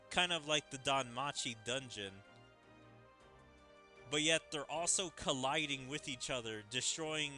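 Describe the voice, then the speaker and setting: A man's voice: mid-range voice, pushed and bright. A man giving casual game commentary as a streamer, speculating and musing aloud.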